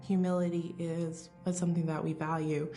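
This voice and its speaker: A woman's voice: low-pitched, flat delivery, moderate pace, warm tone. A woman reflecting and explaining cultural values in a documentary interview. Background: music.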